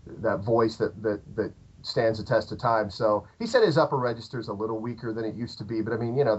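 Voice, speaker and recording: Man, 30s, southern Illinois accent, meandering chat, mid pitch, lo-fi recording. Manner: rambling, lots of fillers and restarts. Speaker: podcast guest, musician. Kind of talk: casual interview chat. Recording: dull lo-fi podcast audio.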